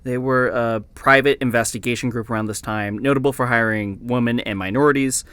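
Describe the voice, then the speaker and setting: A man's voice: mid-range voice, steady tone, a little fast, a bit bright, smooth, dry recording, close to an everyday voice. A man playing a rambling, bookish podcast host who researches occult books, speaking naturally and hesitantly in an audio drama. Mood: puzzled, musing. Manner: hesitant, muttering, explanatory.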